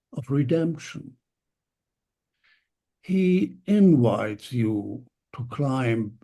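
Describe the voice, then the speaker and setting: A man with a dark, husky voice reads a translated poem at a very slow, halting pace. His delivery is careful and pausing, one phrase at a time, over a video call. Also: on the high side. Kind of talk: poetry reading.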